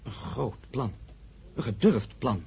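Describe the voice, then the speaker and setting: A very husky, dark-toned gentleman in a radio play. He is courteous and formal, with a careful, sceptical way of talking. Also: fairly low pitch, big pitch swings, slow pace, exaggerated voice. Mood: doubtful, polite. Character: cautious, courteous male citizen of a merchant family. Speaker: actor in a radio drama.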